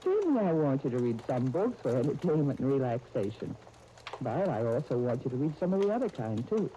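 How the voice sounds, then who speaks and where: An actress playing a gentle, earnest guardian of young twins in a 1930s radio soap opera, speaking tenderly. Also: female voice, rumbling low, very animated, brisk. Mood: gentle, persuasive. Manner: tender, earnest, uses endearments. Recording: muffled, noisy 1939 radio disc recording.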